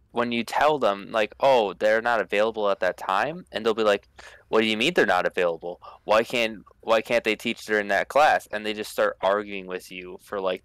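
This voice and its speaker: Smooth-voiced man telling work stories. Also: on the low side, no echo, rough recording. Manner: animated storyteller, acts out quotes, swears. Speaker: podcast co-host. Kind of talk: casual chat, storytelling.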